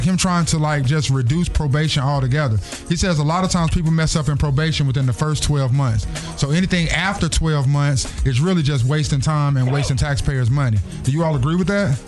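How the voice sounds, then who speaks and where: A male radio host with a slightly high-pitched voice talks in an informal, emphatic way. He repeats words for weight in a studio interview, with music underneath. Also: brisk.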